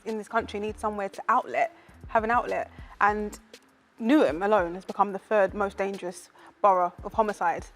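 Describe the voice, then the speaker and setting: A woman of middle pitch presenting on a studio magazine show. She speaks in a passionate, emphatic way. Faint music sits under her voice.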